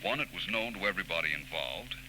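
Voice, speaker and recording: An actor playing a suave, self-assured private detective in a 1940s radio drama, explaining things precisely and with cool confidence. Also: male voice, sits low, lively intonation, fast. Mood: smug, explanatory. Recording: noisy old radio recording with hum.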